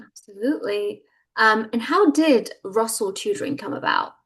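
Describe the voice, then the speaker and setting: A woman hosts a casual, conversational interview. Her delivery is animated, with wide swings in pitch. She sounds enthusiastic and affirming as she puts her questions. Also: moderate pace. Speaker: interviewer, host.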